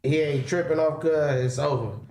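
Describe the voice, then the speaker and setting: A man's voice: on the high side, normal speed, crowd noise. A man in his late thirties rambling in casual, slangy chat on a livestream. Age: late 30s. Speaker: livestream participant. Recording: echoey stream audio with faint noise.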